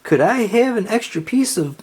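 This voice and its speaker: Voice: mid-range voice, expressive, moderate pace, a little mellow, breathy edge, pitched above the performer's own voice. A storybook reader voicing a bossy, exasperated and scornful young girl who speaks emphatically. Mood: embarrassed.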